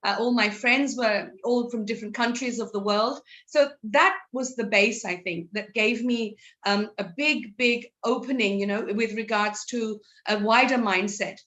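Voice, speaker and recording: A woman in her fifties with a British English accent, talking in a fairly high voice. She answers as a guest in an interview, earnest and reflective. She often restarts her sentences and fills pauses with "you know". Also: a bit bright. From England. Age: 50s. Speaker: psychology counsellor, guest. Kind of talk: conversational interview.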